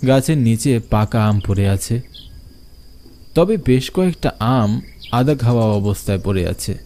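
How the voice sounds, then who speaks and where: A man's voice: mid-range voice, expressive, unhurried, warm tone. A man narrating a scripted horror story.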